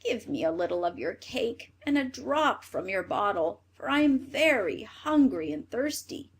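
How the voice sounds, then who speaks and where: A little gray old man of a fairy tale voiced by a woman storyteller, kindly and polite. The words are measured, with an animated rise and fall in pitch. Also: breathy edge, heavily acted. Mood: pleading.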